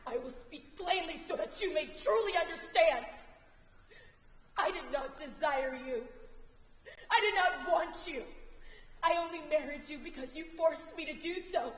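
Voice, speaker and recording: Woman acting a high-voiced, furious grieving queen on stage. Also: a little slow, pauses often, a little hiss or hum. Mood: bitter. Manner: declamatory, pleading, emphatic. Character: grieving, furious queen, wronged wife and mother. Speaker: stage actor. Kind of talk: theatrical one-act Greek tragedy. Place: theatre stage indoors. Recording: echoey theatre hall, long reverb.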